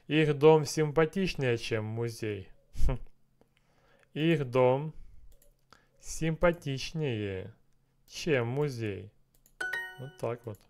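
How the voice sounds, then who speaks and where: A man in his thirties on a headset mic in a small room, working through language drills out loud, repeating and translating each phrase and muttering to himself. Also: mid-range voice, smooth. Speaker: language learner streaming a lesson. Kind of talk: thinking aloud while doing app exercises.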